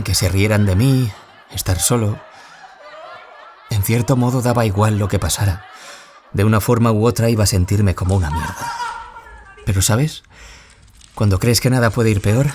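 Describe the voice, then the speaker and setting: Actor as low-voiced, wounded young Spanish man. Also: background noise, close to an everyday voice. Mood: fearful, bitter, then pained. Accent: Spanish, from Spain. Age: young adult. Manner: confessional, dramatic storytelling. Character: wounded young man, former bullying victim, anguished, confiding. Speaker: interviewee telling his story. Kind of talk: scripted audio-drama monologue in interview form.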